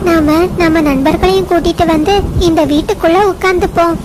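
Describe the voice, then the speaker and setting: A woman's voice: extremely high pitch, steady tone, fairly quick, pitched above the performer's own voice. A woman voicing a small, clever, kind female sparrow in a cartoon story, earnest and reassuring. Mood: curious, earnest. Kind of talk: Tamil cartoon story voice acting. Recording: noisy track with outdoor ambience.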